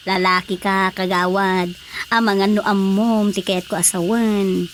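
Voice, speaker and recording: An actress playing a gossipy, teasing village council colleague in a radio drama comedy, with a Filipino accent. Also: female voice, medium pitch, takes it slowly, lightly acted. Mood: chatty, teasing. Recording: faint background noise.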